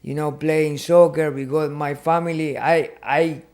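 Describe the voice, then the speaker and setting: A man in his thirties from Argentina with an Argentine Spanish accent, a boxer and podcast guest telling his story in halting second-language English full of "you know". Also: fairly high pitch, steady tone, a little mellow. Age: 30s. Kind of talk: casual podcast interview. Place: podcast studio.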